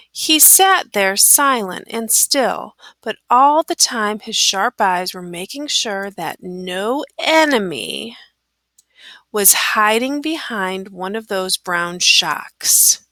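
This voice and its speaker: A woman reading a children's story aloud with careful, expressive phrasing. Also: medium pitch, no room sound. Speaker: storybook reader.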